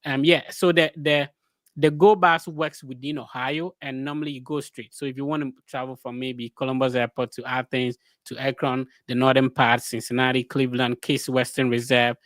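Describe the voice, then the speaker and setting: A man's voice: mid-range voice, flat delivery, moderate pace, a bit bright, no room sound. A man hosting a podcast, talking in a loose, rambling way and asking a guest questions. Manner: rambling, many fillers and restarts. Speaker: podcast host. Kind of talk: casual podcast interview.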